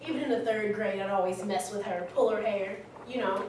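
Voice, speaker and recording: A woman's voice: mid-range voice, fairly even intonation, brisk, darkish tone, lightly acted. A woman playing a candid, smitten young woman telling her life story conversationally in a staged reading, recorded in an echoey hall. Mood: candid, excited. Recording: very echoey hall, distant mic, faint noise.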